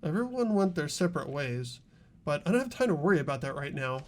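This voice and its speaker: A man's voice: on the high side, very animated, quick pace. A man voicing an anxious, earnest schoolboy hero in an amateur game dub, narrating in the first person. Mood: tense narration. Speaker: narrator and player of a visual novel playthrough.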